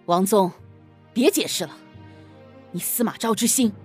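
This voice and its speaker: A woman's voice: mid-range voice, unhurried, a little mellow, faint music behind, close to an everyday voice. A woman playing a sarcastic young husband and official in a Chinese costume audio drama, with a pointed, snorting delivery. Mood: jealous, sarcastic.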